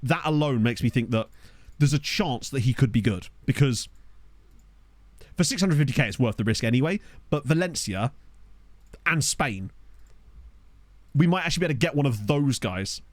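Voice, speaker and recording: A man's voice: mid-range voice, expressive, moderate pace, pauses often, no echo. A man giving casual, rambling commentary as he streams a football management game, laughing and reacting aloud to the players he finds. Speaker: gaming streamer. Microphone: desk microphone.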